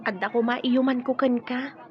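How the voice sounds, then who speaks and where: Actress as a high-voiced, hesitant young woman. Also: steady tone, normal speed, highs cut off, lightly acted. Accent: Ilocano. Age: young adult. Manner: hesitant, questioning. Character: hesitant, bewildered young woman weighing an offer. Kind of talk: Ilocano radio drama acting.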